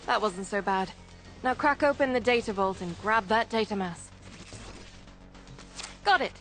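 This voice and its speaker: A woman's voice: mid-range voice, unhurried, fairly bright tone, soft background music, natural voice. A woman playing a tough, dry, commanding resistance leader in a video game. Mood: commanding, wry. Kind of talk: video game mission briefing.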